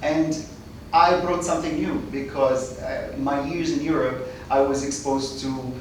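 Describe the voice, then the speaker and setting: A man's voice: a little high, fairly even intonation, a little slow. A man in his late thirties from Kuwait, guest on a podcast, telling his life story in a chatty way with many restarts and laughs. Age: 30s. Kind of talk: casual interview chat. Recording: echoey room, distant mic, noisy.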